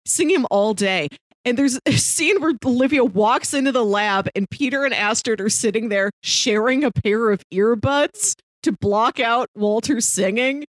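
A woman's voice: fairly high pitch, unhurried, dry recording. A woman co-hosting a podcast, casually recapping a story and acting out quotes as she retells it. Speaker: podcast co-host.

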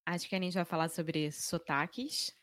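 A woman's voice: lowish voice, moderate pace, no room sound, studio quality. Carioca woman, frank chatty co-host. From Rio de Janeiro, Brazil. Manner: lively, frank, laughs easily. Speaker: podcast co-host, Portuguese teacher. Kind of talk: casual conversational podcast.

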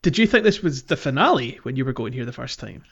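A man's voice: mid-range voice, very animated, fast, slightly rough, dry recording, highs cut off. A man hosting a casual gaming podcast, speaking conversationally and putting questions to his co-hosts. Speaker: podcast host.

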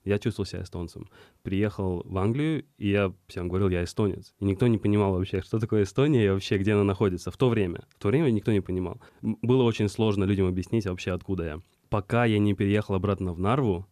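A young man from Estonia answering interview questions in a reflective, halting way. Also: lowish voice, mellow, no room sound, studio quality. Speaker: guest.

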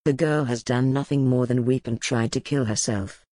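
A woman voicing a gentle, grave duke who speaks in a calm, measured way. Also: a bass voice, no room sound, pitched below the performer's own voice. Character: gentle grave male duke, lord of the castle.

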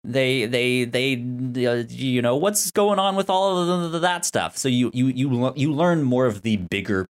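A man co-hosting a podcast, chatting casually and enthusiastically about television in a halting way, with stuttered sounds and syllables. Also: mid-range voice, lively intonation. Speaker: podcast co-host. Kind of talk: casual TV show discussion.

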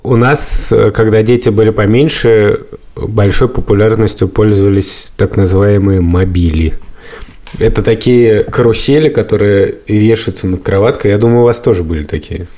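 A man's voice: lowish voice, normal speed, warm tone. A man co-hosting a podcast, chatting and telling stories in a conversational way. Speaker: podcast co-host. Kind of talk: casual podcast chat, storytelling.

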